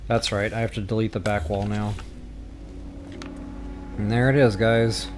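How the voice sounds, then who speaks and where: A man's voice: fairly low pitch, level tone, unhurried. A man hosting a video game building tutorial, informal and mildly self-deprecating as he thinks aloud. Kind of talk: casual screen-recorded game building tutorial. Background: music.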